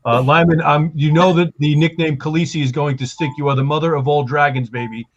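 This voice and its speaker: A man's voice: a little high, steady tone, fairly quick. Hype man host, slightly dull audio. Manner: hype, playful, calls people baby. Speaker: show host. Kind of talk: casual panel chat. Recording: slightly dull sound with faint noise.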